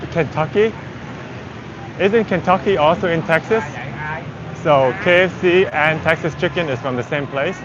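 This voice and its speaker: A man's voice: on the high side, normal speed, long pauses. A man who is a native Vietnamese speaker chats casually in English, rambling and thinking aloud like a walking travel livestreamer. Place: outdoors on a busy city street. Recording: dull audio with loud street traffic. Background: traffic, vehicles, urban street noise.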